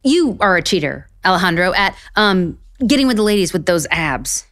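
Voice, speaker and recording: A woman's voice: lowish voice, normal speed, no echo, clean studio sound. An actress playing a sarcastic, easily exasperated woman author in a romantic comedy audio drama. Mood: sarcastic, flustered. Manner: sarcastic, excitable.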